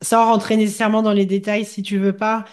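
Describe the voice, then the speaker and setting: A woman hosting an interview, asking gentle, searching questions with frequent restarts. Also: medium pitch, little pitch movement, fast talker. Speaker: interviewer, host. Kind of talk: interview conversation.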